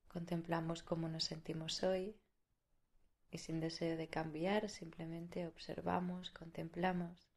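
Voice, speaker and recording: A woman with an accent from Spain leading a guided meditation in a soothing, unhurried way with long pauses. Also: on the low side, little pitch movement, a little mellow.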